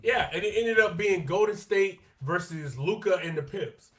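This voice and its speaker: A man talking as a podcast co-host in an easygoing sports chat. His voice sits a little on the high side, and he gets animated and slangy, repeating his points for emphasis. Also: moderate pace. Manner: animated, quotes players in their own voices.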